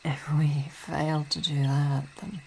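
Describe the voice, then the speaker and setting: A woman voicing a weary, devoted vampire ruler and wife in an ASMR roleplay, speaking softly, often in a whisper, with halting, reflective phrasing and sighs. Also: low-pitched, level tone, gravelly, slight background noise, exaggerated voice. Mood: worried, earnest.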